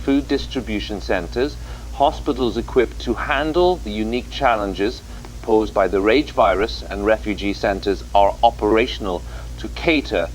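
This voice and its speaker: A man's voice: on the low side, moderate pace, low-quality recording. A man presenting a scripted TV news report as anchor, in a grave, formal manner.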